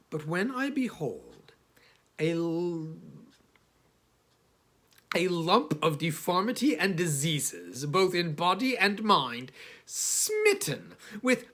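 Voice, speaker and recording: A man playing a haughty, misanthropic older traveller narrating his memoir, with halting, theatrical delivery and emphatic pauses. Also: high voice, bright, forceful tone, full character voice. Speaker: audiobook reader. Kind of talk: dramatic first-person literary narration.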